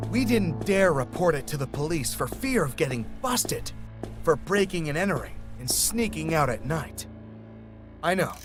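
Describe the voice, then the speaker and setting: Young male narrator, lively swooping intonation, horror storytelling, clear music bed underneath. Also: fairly high pitch. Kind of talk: scripted first-person horror story narration.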